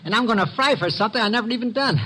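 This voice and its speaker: An actor playing a nervous, stammering sneak thief with slangy, uneducated speech in an old radio detective drama. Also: male voice, sits high, lively intonation, racing, sharp tone, husky, full character voice. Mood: pleading, flustered. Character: nervous, very tall small-time sneak thief. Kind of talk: 1940s-50s radio detective drama acting.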